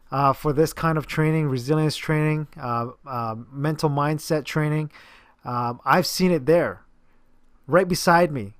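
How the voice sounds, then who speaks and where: A man's voice: on the high side, smooth, no echo, clean studio sound. A man, a personal trainer, talking casually to camera about a client, rambling with many fillers and restarts. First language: English.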